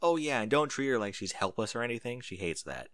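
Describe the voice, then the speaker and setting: An earnest storybook pony played by a man who knows it all and explains with emphasis. The voice is bright and dry, with lively swings in pitch. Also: quick pace, dry recording, close to an everyday voice. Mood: earnest, advising. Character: earnest, know-it-all pony friend of an athlete.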